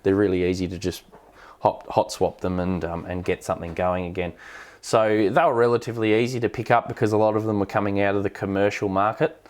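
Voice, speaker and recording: A man's voice: lowish voice, steady tone, a little fast. An Australian man hosting a casual technical question-and-answer stream, explaining things hesitantly as he thinks aloud. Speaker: live stream host, amateur radio operator.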